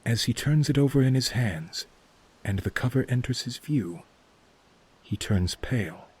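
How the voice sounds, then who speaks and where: A man's voice: mid-range voice, lively intonation, unhurried, a little mellow, husky. A man reading scripted narration for a fantasy story as its narrator.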